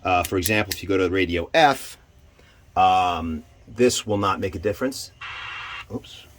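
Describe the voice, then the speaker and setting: A man giving an informal hands-on equipment demonstration, halting and thinking aloud as he goes. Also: low voice, fairly bright tone. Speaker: presenter demonstrating radio equipment. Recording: clear background noise.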